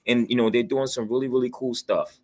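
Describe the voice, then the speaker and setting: A man's voice: medium pitch, fairly even intonation, brisk. A man in casual chat, talking in a slangy, enthusiastic way with plenty of conversational tags. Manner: enthusiastic, slangy, peppers talk with "man" and "you know".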